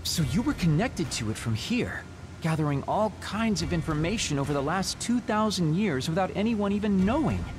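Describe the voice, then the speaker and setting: High, animated young soldier. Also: male voice, normal speed, noisy background. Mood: accusing disbelief. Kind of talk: dramatic video game acting.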